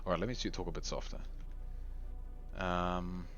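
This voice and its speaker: A man giving chatty live commentary on a game tournament, his voice going hoarse. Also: low voice, fairly even intonation, normal speed, a bit bright. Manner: chatty, rambling, lots of restarts and laughs. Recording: dull lo-fi recording with faint music.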